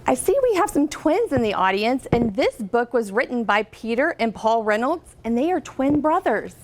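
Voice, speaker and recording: A high-voiced American woman reads a picture book aloud to children. Her intonation is very lively, and she often turns to her young listeners with questions. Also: low-quality recording. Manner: expressive, pausing to ask listeners questions. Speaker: guest reader, company representative.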